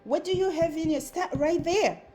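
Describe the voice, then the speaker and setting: A woman's voice: extremely high pitch, moderate pace, warm tone. A woman with a Zimbabwean accent giving an earnest motivational talk as a content creator. She drives her points home by repeating short phrases.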